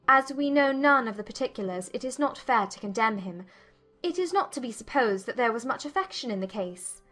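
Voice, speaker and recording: Woman playing a proud young lady, high voice, formal, articulate, spirited, classic novel audiobook, faint music. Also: animated, fairly quick, a bit bright. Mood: composed. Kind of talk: dramatized audiobook character dialogue.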